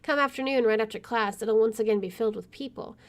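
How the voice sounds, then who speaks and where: A woman's voice: fairly high pitch, quick pace. A woman hosting a gaming video, reading visual novel narration aloud with casual asides.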